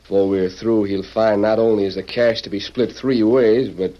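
A man playing a bossy, hot-tempered outlaw in an old radio western, talking in gruff, commanding cowboy lingo. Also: fairly low pitch, fairly even intonation, normal speed, lightly acted. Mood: scheming. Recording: muffled old radio recording with hiss.

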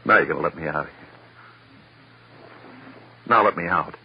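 An actor playing a desperate American murder suspect in a 1950s radio drama, halting and stammering insistently as he confesses. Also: low voice, breathy edge, soft background music, exaggerated voice. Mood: agitated demanding. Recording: dull, noisy vintage radio recording.

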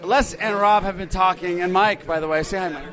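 A man's voice: high voice, fairly even intonation, fairly quick, fairly bright tone. A man hosting a casual podcast chat, asking questions in an enthusiastic manner. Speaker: podcast host. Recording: busy crowd noise around the voices.

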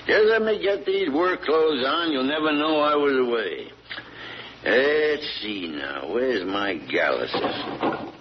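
A man's voice: mid-range voice, big pitch swings, takes it slowly. An actor playing an older, stoic, folksy country father with a rural American accent, in an old-time radio drama. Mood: agitated. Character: weathered stoic rural hound-loving father, convicted of killing. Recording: dull, noisy old radio recording.

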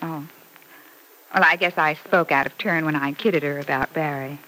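An actress playing an emotional, sharp-tongued mother with a melodramatic, halting delivery in an old-time radio serial. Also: low voice, steady tone, rough recording. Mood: warm, casual. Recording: old radio broadcast, faint hiss.

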